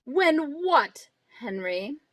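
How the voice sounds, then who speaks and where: Lady doing a bossy scornful wife, high voice, slow and cutting, super dry. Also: very animated, no room sound, full character voice. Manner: cold, cutting, condescending. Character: domineering scornful middle-aged wife. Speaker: radio drama actor. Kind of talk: live reading of an old-time radio script.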